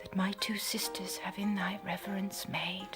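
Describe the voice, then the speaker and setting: An actress playing a tender, devoted princess in a classical audio drama, hushed, breathy and earnest. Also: female voice, mid-range voice, little pitch movement, normal speed, over music, natural voice. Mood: grieving, tender. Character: tender, grieving princess, devoted daughter of a king.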